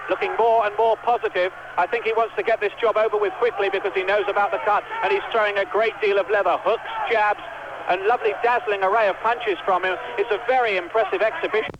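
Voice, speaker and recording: British boxing commentator, high voice, muffled line, heavy background noise. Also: male voice, steady tone, fast. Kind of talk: ringside commentary.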